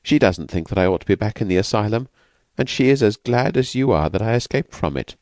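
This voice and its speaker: A man voicing a frightened, fragile young woman who has escaped from an asylum, speaking softly and hesitantly. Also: lowish voice, expressive, a little fast, dry recording, pitched above the performer's own voice, close to an everyday voice. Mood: earnest. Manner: hesitant, vacant, soft-spoken.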